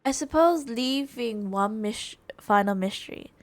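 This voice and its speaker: A woman gaming streamer giving casual reaction commentary, haltingly thinking aloud as she goes. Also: fairly high pitch, animated.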